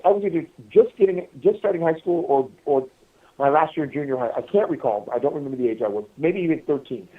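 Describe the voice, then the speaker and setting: Guy rambling casually on a thin phone line, higher-ish voice, stops and starts, repeats himself. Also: far from the mic. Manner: halting, repetitive, often addressing the listeners. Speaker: podcast guest calling from prison. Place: prison phone call. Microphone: telephone handset. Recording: tinny, band-limited prison phone line.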